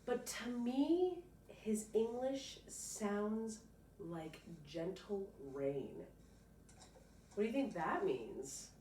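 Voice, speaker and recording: A woman teacher reading a picture book aloud to a child, pausing to explain and prompt with encouraging questions. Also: medium pitch, very animated, mellow. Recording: roomy, distant mic, faint noise.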